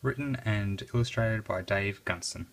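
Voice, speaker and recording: A reader narrating a children's story aloud in an expressive, dramatic way. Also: deep voice, normal speed.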